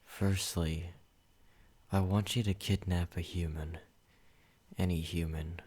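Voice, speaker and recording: Possessive male vampire as a man plays him, low voice, very slow, soft and whispery, controlling. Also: steady tone, breathy edge, exaggerated voice. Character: possessive male yandere vampire, obsessive and menacing. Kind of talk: ASMR roleplay.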